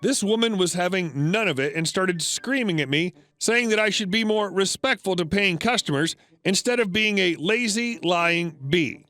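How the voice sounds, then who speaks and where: A man narrating reddit stories in a scripted read, flat and even with sudden pitch jumps. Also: normal speed, hardly any pauses, faint music behind.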